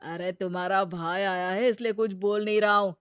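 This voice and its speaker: A woman's voice: medium pitch, fairly even intonation, moderate pace, clear, resonant tone, no echo, lightly acted. Woman putting on a cocky gambler husband, close and dry. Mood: irritated. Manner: boastful, wheedling. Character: cocky, irresponsible gambler husband, adult man.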